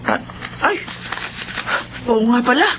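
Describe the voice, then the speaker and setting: A woman's voice: fairly high pitch, animated, slow pace. An actress playing a forgetful older mother in a Filipino radio drama, fretful and absent-minded. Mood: friendly, flustered. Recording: dull, noisy radio recording.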